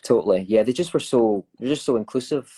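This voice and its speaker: A man's voice: mid-range voice, animated, fairly quick, warm tone, breathy edge. Chatty young Glaswegian man. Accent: Glaswegian Scottish. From Scotland. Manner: chatty, laughs often, trails off and restarts. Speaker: actor answering fan questions. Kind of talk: casual chat.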